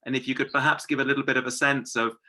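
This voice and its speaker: A man's voice: medium pitch, little pitch movement, very fast, pushed and bright. A man moderating a seminar, courteously putting several questions at once to a guest. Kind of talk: interview questions.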